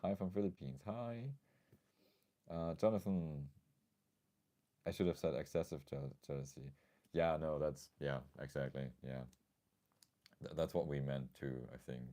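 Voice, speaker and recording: Male livestream host, extremely low mellow voice, a bit scratchy, casual and thoughtful. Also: measured pace, long pauses. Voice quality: slightly scratchy.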